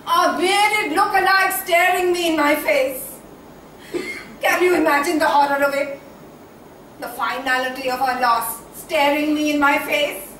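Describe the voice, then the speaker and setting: Casting: actress playing soul-baring Indian woman in stage soliloquy, high voice, slow declamatory delivery, heavy room echo. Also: fairly even intonation, a bit bright. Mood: anguished. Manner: declamatory, deliberate, with dramatic pauses and repetitions. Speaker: stage actor. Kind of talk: theatrical dramatic monologue. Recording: very echoey stage, distant mic, faint noise.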